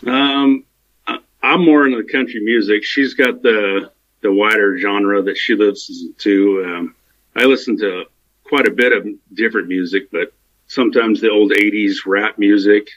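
An American man, a podcast guest, joking in a relaxed way and laughing at his own lines. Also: fairly low pitch, normal speed, dull audio. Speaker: podcast guest, home bar owner. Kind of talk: casual interview chat.

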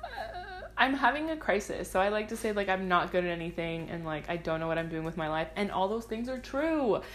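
A woman's voice: mid-range voice, lively intonation, a little fast, a little hiss or hum. Rambling self-deprecating young woman podcaster. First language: Spanish. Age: 20s. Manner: rambling, self-deprecating, swears freely, goes on tangents. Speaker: podcast host. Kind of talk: casual solo podcast monologue.

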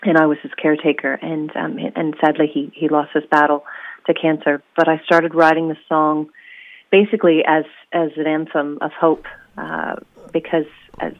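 A woman's voice: low-pitched, flat delivery, normal speed. A woman from Oregon with an American accent slightly shifted by New Zealand, chatting bubbly as a musician guest, laughing often and using many fillers. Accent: American with a New Zealand tinge. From Oregon, USA. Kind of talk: casual interview chat. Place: at home in New Zealand, over a call. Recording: tinny phone line.

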